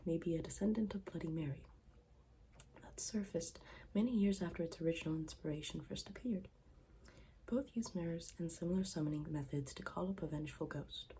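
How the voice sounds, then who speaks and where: Morbid, formal schoolgirl for ASMR roleplay, played by a woman: lowish, velvety dark, deadpan, soft whispery delivery. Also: slight background noise. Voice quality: soft, breathy, often dropping into whisper. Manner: deadpan, formal, deliberate wording.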